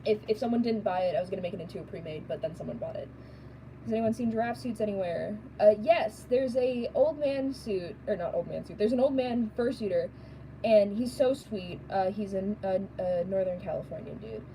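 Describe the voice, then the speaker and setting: A woman with a raspy voice who makes fursuits, chatting casually and rambling as she sews and answers viewer questions. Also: medium pitch, fairly quick, dark tone, slight background noise, rough recording. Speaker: fursuit maker. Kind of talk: casual chat while sewing.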